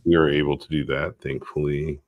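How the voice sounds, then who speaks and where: A middle-aged man, a pastor, chatting as an interview guest in an easygoing way with a booming voice. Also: level tone, brisk, no echo, highs cut off. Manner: easygoing, loose with fillers like "like". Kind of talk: casual interview chat.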